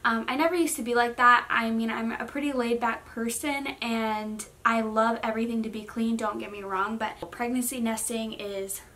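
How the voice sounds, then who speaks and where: A woman's voice: on the high side, steady tone, normal speed, hardly any pauses, pushed and bright, a little hiss or hum. A young woman in her twenties chatting casually in a vlog from her bedroom, rambling with many fillers. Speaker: vlogger. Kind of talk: casual pregnancy update vlog. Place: bedroom, seated on bed.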